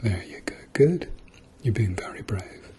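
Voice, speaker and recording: A man doing a soft-spoken, often whispered ASMR roleplay as a gentle, reassuring doctor with a dryly playful manner. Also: on the low side, lively intonation, unhurried. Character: calm, reassuring male doctor treating a patient.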